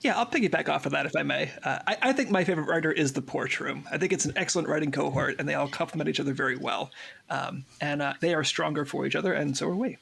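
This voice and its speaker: A man with a gravelly, husky voice chatting casually as a podcast cast member. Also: on the high side, quick pace, fairly bright tone. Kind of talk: casual panel chat.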